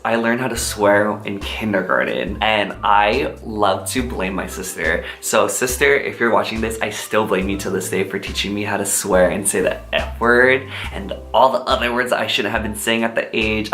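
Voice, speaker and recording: A man's voice: fairly low pitch, a bit bright. A young man from Honolulu, telling personal stories to camera in a candid, upbeat way. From Honolulu, Hawaii. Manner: chatty, upbeat and candid, sprinkled with fillers. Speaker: vlogger. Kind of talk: casual personal storytelling to camera. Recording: roomy echo, faint music.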